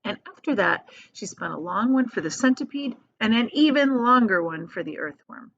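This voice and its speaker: Female storybook reader, teacherly and expressive, highly varied pitch, casual asides, muffled lo-fi recording. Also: normal speed. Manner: expressive, explains the story in plain words as she goes. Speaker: teacher reading aloud to children. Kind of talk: animated storybook read-aloud with casual asides.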